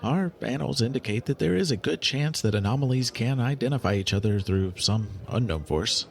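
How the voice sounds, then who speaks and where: An actor playing a clinical, curious non-human researcher in an audio drama, formal and precise, fascinated by humans in a detached way. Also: male voice, medium pitch, lively intonation, brisk, gravelly. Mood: eager, scheming.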